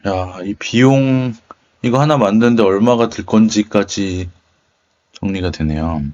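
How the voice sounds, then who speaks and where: A man's voice: lowish voice, measured pace, darkish tone. A man hosting a tech demo, thinking aloud in a casual, musing, tentative way as he comments on his screen. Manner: musing, tentative, murmuring to himself. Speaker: host of a tech video channel. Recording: dull, lo-fi microphone sound.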